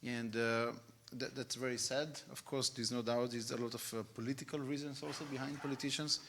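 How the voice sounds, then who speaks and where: A man's voice: mid-range voice, level tone, brisk, noisy background. A man who is not a native English speaker, giving unscripted remarks and searching for words.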